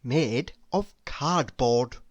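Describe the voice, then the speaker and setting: A woman narrating a children's storybook read-aloud in an expressive, deliberate storytelling manner. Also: extremely low pitch, no room sound, lo-fi sound.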